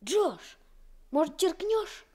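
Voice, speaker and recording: A woman's voice: very high voice, big pitch swings, slow, dark tone, exaggerated voice. A woman voicing an earnest, wide-eyed teenage boy, the younger brother, in an old Soviet radio play. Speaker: radio drama actor.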